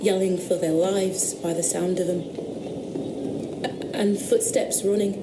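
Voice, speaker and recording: A woman's voice: lowish voice, fairly even intonation, roomy sound, heavy background noise. Shaken country girl. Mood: fearful. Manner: halting, hesitant, rustic speech. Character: frightened young country woman, insistent witness. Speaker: witness questioned about noises. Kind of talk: radio drama acting.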